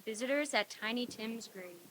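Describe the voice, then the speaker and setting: A woman's voice: on the high side, normal speed, a bit bright, natural voice. A woman playing a stern, commanding guide to grim visions in a staged radio play reading. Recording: hissy, noisy live stage recording.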